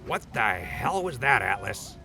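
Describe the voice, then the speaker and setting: A man's voice: medium pitch, very animated, measured pace, creaky, heavily acted. A man playing an easygoing, teasing mentor and buddy in an audio drama, casual and joking. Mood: surprised, amused. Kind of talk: post-apocalyptic audio drama acting.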